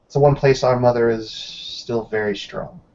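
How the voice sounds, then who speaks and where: Mid-pitched man roleplaying on muffled audio. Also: fairly even intonation, normal speed, a little mellow. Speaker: tabletop roleplaying game player. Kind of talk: casual roleplay, in character and narrating. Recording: dull, lo-fi voice recording.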